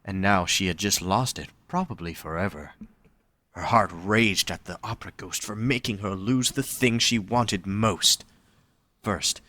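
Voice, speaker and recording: Male narrator for scripted fiction. Low-pitched, dry recording. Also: fairly bright tone, no echo.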